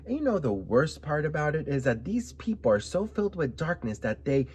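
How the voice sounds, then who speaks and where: A man's voice: on the high side, very animated, brisk, a little mellow, husky. A young man from Miami giving sassy, emphatic commentary to camera, often adding "okay?" asides. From Miami, United States. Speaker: vlogger commenting on celebrity news.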